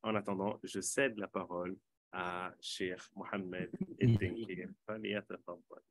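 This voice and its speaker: Low-pitched male host running an online panel, loose and self-correcting. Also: little pitch movement, measured pace, low-quality recording.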